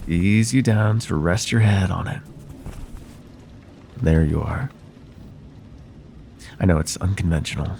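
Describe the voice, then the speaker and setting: Low, creaky, coaxing vampire therapist played by a man. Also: a little hiss or hum, exaggerated voice. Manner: soft-spoken, deliberate, hypnotic pauses, formal wording. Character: male vampire therapist, soothing, coaxing, quietly possessive. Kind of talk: ASMR roleplay, slow intimate monologue.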